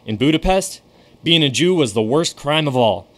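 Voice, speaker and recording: Guy playing a showy old-time magician, lively swoopy delivery, secretive. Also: a little high, moderate pace, natural voice. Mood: showman. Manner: showman's flair, secretive. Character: famous stage magician, now a ghostly guide. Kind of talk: radio drama acting.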